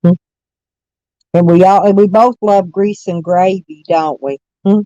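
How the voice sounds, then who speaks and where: A hoarse woman with stuffy sinuses, rambling folksily as she chats with live viewers from her camper van. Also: fairly low pitch, fairly even intonation, a little slow, warm tone, no echo, dull audio. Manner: rambling, folksy, self-correcting. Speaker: vlogger chatting with live viewers. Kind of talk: casual morning chat.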